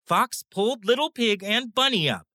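A woman narrating a children's bedtime story in an expressive storytelling manner. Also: fairly high pitch, normal speed, no room sound, clean studio sound.

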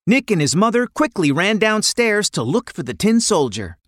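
A woman narrating a scripted children's story in an expressive, dramatic way. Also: mid-range voice, normal speed, dry recording, clean studio sound.

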